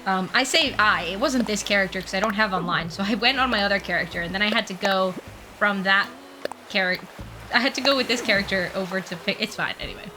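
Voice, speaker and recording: A woman's voice: medium pitch, fast talker, poor audio. A young woman streaming from her room with a mild cold, chatting casually and scatterbrained while she plays and answers chat. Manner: rambling, scatterbrained, lots of ums and restarts; soft laughs, reads and answers chat. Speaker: livestreamer. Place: room at home with the window open. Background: game music.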